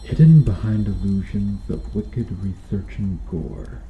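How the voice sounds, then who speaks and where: A cruel medieval knight played by a man, low in pitch and very slow in delivery. He is brooding, vengeful and menacing, speaking in formal, old-fashioned phrases as a dramatic ASMR roleplay. The sound is notably roomy. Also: lively intonation, dark tone. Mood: grave, explanatory. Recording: muffled, roomy sound with background noise.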